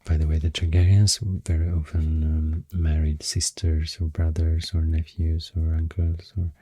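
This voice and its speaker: A man with a Swedish accent doing soft-spoken ASMR, half-whispering in a hesitant, unhurried ramble close to the microphone. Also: extremely low pitch, monotone, husky, no echo. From Sweden. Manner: hesitant, unhurried, halting rambling in short phrases. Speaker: ASMR creator and host. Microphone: close, dry microphone.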